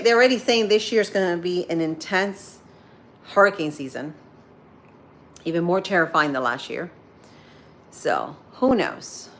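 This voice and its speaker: Woman, 30s, Spanish-influenced accent, lower voice; rambling, friendly livestream host reading out comments. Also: slight background noise. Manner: chatty, rambling, answers viewer comments as she goes. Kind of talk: casual morning livestream chat while cooking.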